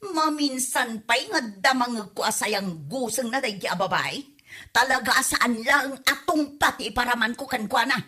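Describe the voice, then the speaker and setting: A woman's voice: fairly high pitch, lively intonation, moderate pace, bright, forceful tone, slightly rough, full character voice. A woman acting a hot-tempered married woman in a comedy drama, scolding and exclamatory. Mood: resentful. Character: furious scolding married woman confronting a gossip.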